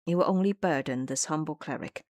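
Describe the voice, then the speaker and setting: A woman voicing a gentle, humble male monk master, courteous and measured, in an audio drama. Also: low voice, no echo, natural voice.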